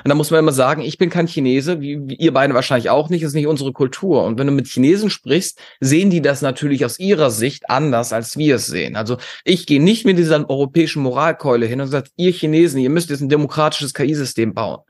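A man's voice: medium pitch, quick pace, few pauses, a little mellow. A man from Germany talking as a podcast guest, giving opinions emphatically and outspokenly with rhetorical questions. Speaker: podcast guest, conference founder. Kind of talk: opinionated interview talk.

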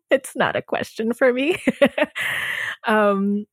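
A woman's voice: a little high, animated, very slow, husky, dry recording. Chatty woman podcast host, dry sound. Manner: chatty, relatable, laughs often. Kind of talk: casual interview conversation.

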